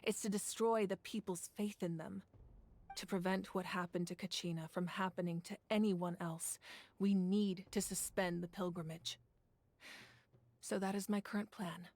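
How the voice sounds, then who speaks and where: A woman playing a patient, resolute female ruler in an English video game, speaking in a measured, formal way. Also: mid-range voice, steady tone, a little mellow, lightly acted. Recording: music under the voice.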